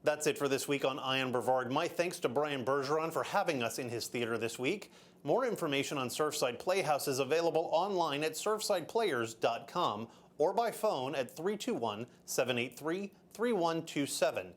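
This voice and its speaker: A man's voice: fairly high pitch, animated, normal speed. Playful man hosting a TV interview. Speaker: TV show host. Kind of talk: interview and show sign-off. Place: theater stage.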